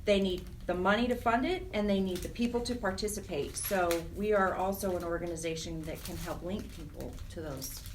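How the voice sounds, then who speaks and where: A woman's voice: on the low side, brisk, hardly any pauses. An American woman explaining things earnestly and emphatically as a guest in a radio interview. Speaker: interview guest, program director of a nonprofit chapter. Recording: distant mic with faint noise.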